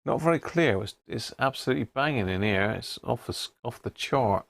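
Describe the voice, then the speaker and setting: A man's voice: medium pitch, lively intonation, brisk, vocal fry, no room sound, clean studio sound. A man hosting a live radio stream, casually commenting as he tunes through stations and reads out viewers' reports.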